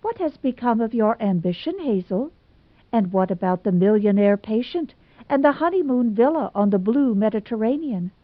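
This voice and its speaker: Woman reading as a wry, level-headed young woman, dry and unfussy, muffled, flat sound. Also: a little high, normal speed, no echo, pitched above the performer's own voice. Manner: dry, level, wryly humorous.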